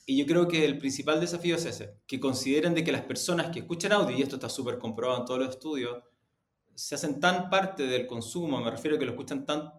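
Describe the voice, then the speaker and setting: A man with a Chilean accent giving explanatory, conversational interview answers, emphatic and often rephrasing himself as he goes. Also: medium pitch. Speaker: media executive being interviewed. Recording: roomy, echoing sound.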